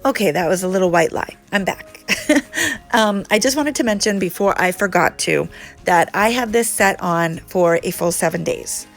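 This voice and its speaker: A woman hosting a casual nail tutorial, sounding a little congested from allergies, chatty and relaxed with frequent ums. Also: lowish voice, moderate pace, vocal fry, faint music behind. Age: adult. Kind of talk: casual how-to chat.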